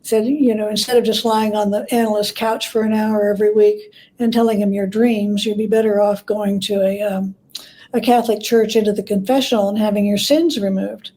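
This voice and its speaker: A woman's voice: medium pitch, fairly even intonation, brisk, warm tone. A woman speaking as an expert interview guest, explaining informally through anecdotes and paraphrased quotes.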